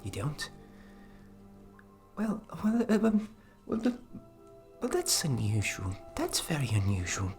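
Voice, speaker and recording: A performer voicing a flustered, kindly fairy magic specialist in a fantasy ASMR roleplay, speaking softly and hesitantly, often dropping into a whisper. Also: medium pitch, huge pitch swings, music in the background, heavily acted. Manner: hesitant, halting, repeats words, often whispering.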